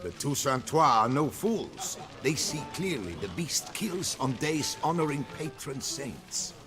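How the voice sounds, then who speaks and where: A man's voice: medium pitch, sing-song, measured pace, over music. An actor playing a haughty, sardonic man in a fantasy game, with formal, sententious delivery. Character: haughty, sardonic man lamenting modern times. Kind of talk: fantasy video game dialogue.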